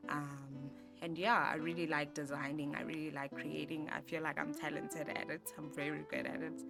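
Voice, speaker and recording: A South African woman in her twenties, talking in a soft, reflective way with many fillers and restarts during an interview. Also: sits low, level tone, moderate pace, creaky. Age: 20s. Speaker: interviewee, graphic designer. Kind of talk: relaxed personal interview. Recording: music bed playing behind voice.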